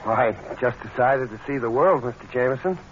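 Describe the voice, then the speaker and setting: A man's voice: mid-range voice, a little fast, close to an everyday voice. Man acting young drifter artist, breezy, opportunistic, mid-range voice, vintage radio drama, dull faintly noisy recording. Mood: breezy, pleased. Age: young adult. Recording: muffled old radio with faint noise.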